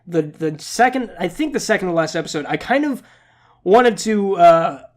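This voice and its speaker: A man's voice: high-pitched, animated, normal speed. A young man hosting a gaming channel update vlog, talking casually in a rambling, playful, self-deprecating way, his voice slightly scratchy and nasal from congestion. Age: young adult.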